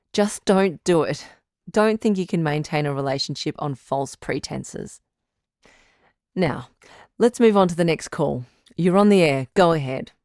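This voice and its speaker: Woman playing a composed, low-pitched radio advice doctor. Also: a little mellow, dry recording, clean studio sound, natural voice. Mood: firm, instructive. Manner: measured, counselling, firm. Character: composed, frank female relationship doctor hosting radio. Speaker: radio advice show host. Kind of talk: scripted radio drama call-in show.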